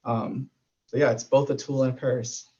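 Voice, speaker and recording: A Spanish-native man from Puerto Rico speaks in a level, even tone, pausing often to gather his thoughts. His voice comes through a dull, lo-fi video call line. He is a panelist sharing something personal. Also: mid-range voice. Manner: thoughtful, hesitant with many fillers. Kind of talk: personal reflection in a panel discussion.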